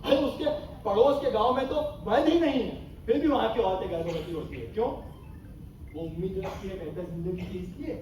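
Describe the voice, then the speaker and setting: A man's voice: high-pitched, fairly quick, darkish tone, lightly acted. A man playing a bitter, defiant army captain in a theatrical stage play, with an impassioned, sarcastic, rhetorical delivery. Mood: heated, accusing. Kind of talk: theatrical Hindi stage acting. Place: stage of a hall at a student festival. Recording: echoey hall, far mic, noisy.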